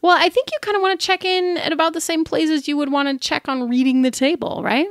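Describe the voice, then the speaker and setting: A woman's voice: high voice, steady tone, fast talker, no room sound, clean studio sound. A woman co-hosting a podcast, explaining things in a casual, conversational way. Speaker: podcast co-host. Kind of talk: casual chat about tabletop game advice.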